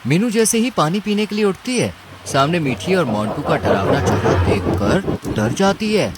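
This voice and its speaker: Guy narrating a cartoon story, lively up and down voice, clearly noisy rainy background. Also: fairly high pitch, moderate pace.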